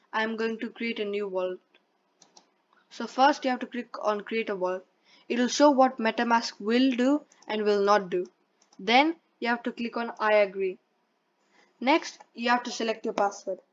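A woman presenting a step-by-step tutorial in a plain, instructional way, reading from a script. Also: a little high. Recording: dull lo-fi voice-over.

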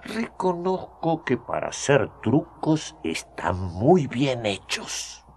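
A sly old chuckling magistrate. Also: male voice, mid-range voice, sing-song, slow pace, slight background noise. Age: older. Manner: chuckling, smooth, persuasive. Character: sly gray-bearded male magistrate, scheming. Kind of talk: radio drama acting.

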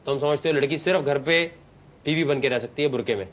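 Man, 30s, TV host, fast, fairly high pitch, blunt, mocking, studio interview. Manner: blunt, mocking, rapid-fire questioning. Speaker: show host and audition judge. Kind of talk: teasing, challenging audition interview. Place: TV studio set, seated at a desk.

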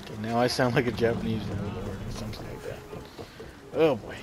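A man's voice: lowish voice, fairly even intonation, fairly quick, noisy background. A man casually commenting as he plays a video game, chatting and laughing with his viewers. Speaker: gamer playing a video game.

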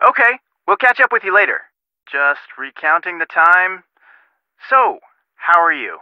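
A breezy man chats casually in a high, animated voice. The sound is dry and a little lo-fi. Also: a little slow, dry recording. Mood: casual, friendly. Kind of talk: video game voice acting.

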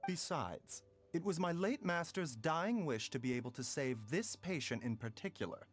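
A man voicing a calm, courteous male doctor in a video game, measured and formal, with music behind. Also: on the high side, lightly acted.

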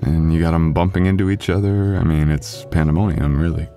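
A man playing a sweet, apologetic, devoted young husband in an intimate boyfriend ASMR roleplay, with a halting, tender, self-deprecating delivery. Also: a bass voice, fairly even intonation, mellow, faint music behind. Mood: playful, teasing.

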